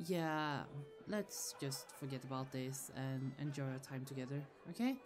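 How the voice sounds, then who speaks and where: Woman playing a low-pitched protective sorceress sister. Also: a little slow. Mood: reassuring, confiding. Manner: affectionate, chatty, firm when provoked. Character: protective, easily annoyed young sorceress older sister in fantasy world. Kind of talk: ASMR roleplay acting. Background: music.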